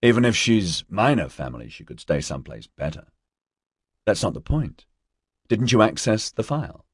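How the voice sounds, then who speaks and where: A man's voice: on the low side, big pitch swings, normal speed, breathy edge, no echo, studio quality, voice pushed up. A man voicing a confident, casual male investigator in an audiobook, teasing yet polite. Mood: teasing, debating.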